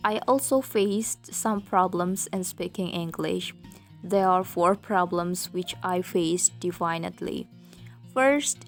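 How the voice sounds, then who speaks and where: A young woman with an Indonesian accent, a student presenting in careful, rehearsed learner's English. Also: mid-range voice. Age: young adult. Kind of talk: prepared presentation.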